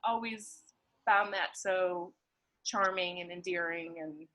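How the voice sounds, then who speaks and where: A woman chatting casually and warmly, telling anecdotes in an informal, halting way with repeated words. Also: fairly low pitch, fairly even intonation, rough recording. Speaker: conversation partner, guest. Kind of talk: casual, warm chat and anecdote.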